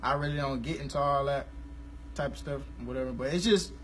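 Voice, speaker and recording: A man, hosting a casual live stream about gospel music, talking in a relaxed, rambling, slangy way. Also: medium pitch, brisk, slight background noise. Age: 20s.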